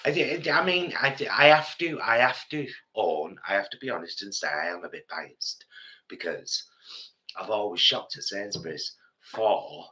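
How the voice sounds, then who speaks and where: Deep-voiced man in his fifties with a cold. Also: moderate pace. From England. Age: 50s. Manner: rambling storyteller, animated. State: has a cold, a bit bunged up. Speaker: co-host. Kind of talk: casual live stream chat.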